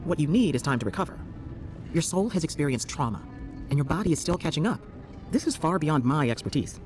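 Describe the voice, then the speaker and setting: A quick, lively fantasy healer. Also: female voice, deep voice, music in the background. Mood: earnest, advisory. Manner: inquisitive, expressive. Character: brisk, caring female healer at a fantasy clinic. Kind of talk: video game voice acting.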